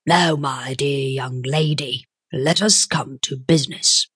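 A prosperous, shifty man of business as a woman reader plays him in an audiobook. The voice sits low and breathy, close and dry. He talks in a measured, oily, formal way. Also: a bit bright, dry recording, heavily acted. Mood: oily, coaxing. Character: sleek, shifty, smooth-talking prosperous businessman. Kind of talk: audiobook character voice.

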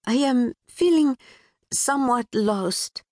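Woman voicing a petite young woman, Pakistani accent with British twang, sing-song, hesitant, formal, high voice, close dry mic. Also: animated, slow, darkish tone, dry recording. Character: timid petite young woman, weary traveler.

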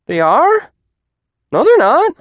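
A man voicing a skeptical, literal-minded high school student who questions everything, in an audiobook. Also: huge pitch swings, slow, dry recording, highs cut off, exaggerated voice. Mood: puzzled, challenging. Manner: querulous, questioning. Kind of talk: audiobook character voice.

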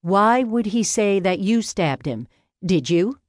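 A woman's voice: medium pitch, expressive, slow, no echo, studio quality, pitched above the performer's own voice. A woman voicing a stern, businesslike police officer with a firm official manner in an audiobook story. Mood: official, questioning.

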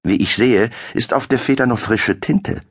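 A man's voice: medium pitch, moderate pace, breathy edge, pitched above the performer's own voice. A man voicing an impatient, menacing nobleman who hisses threats. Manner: hissing, menacing.

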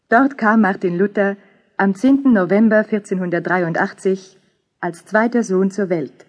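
A woman narrates history from a script. Her voice is of medium pitch, in the style of a documentary narrator. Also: darkish tone.

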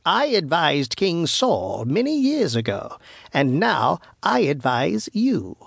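A man voicing a wicked, boastful old witch in a storybook, with a craggy voice and slow, threatening delivery. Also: a little high, huge pitch swings, gravelly, husky, no room sound, clean studio sound, pitched above the performer's own voice, exaggerated voice. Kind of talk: storybook narration character voice.

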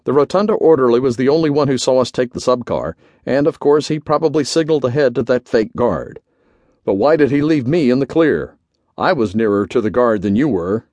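Man playing an earnest young pilot, lively pitch. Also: brisk, dry recording, lightly acted. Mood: respectful, puzzled. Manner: respectful, direct, slightly tense. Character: earnest, respectful young male military pilot.

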